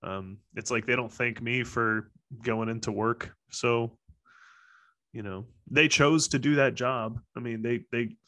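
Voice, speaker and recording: A man's voice: mid-range voice, fairly even intonation, unhurried, sharp tone. A man in a casual conversation, joking loosely and leaning on "like" as filler. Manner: loose, joking, says "like" a lot. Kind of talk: casual chat.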